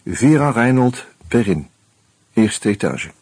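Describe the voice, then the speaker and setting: An actor playing an uneasy murder suspect in a radio play, musing and hesitant, with a wry streak. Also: male voice, medium pitch, animated, slow pace, darkish tone, breathy edge, no echo. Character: uneasy male murder suspect narrating his own investigation. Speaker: actor and first-person narrator. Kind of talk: Dutch radio play, reflective narration and dialogue.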